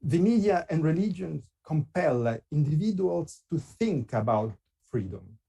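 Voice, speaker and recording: A man with an Italian accent, a guest speaker giving a prepared lecture in English, his second language, in slow measured phrases with long pauses. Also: a little high, sing-song, creaky, mellow, husky.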